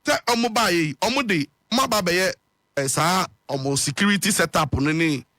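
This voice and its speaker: A man with a Ghanaian accent, a guest on a radio talk show, speaking haltingly and mixing Twi with English. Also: sits high, animated, sharp tone, dry recording, studio quality. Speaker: political guest. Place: radio studio.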